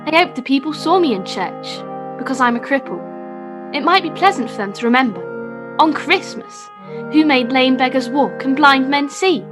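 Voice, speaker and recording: A woman's voice: high-pitched, expressive, normal speed, music in the background. A woman playing a sweet, devout, frail little boy in a radio play, innocent and eager in manner. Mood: earnest, sweet.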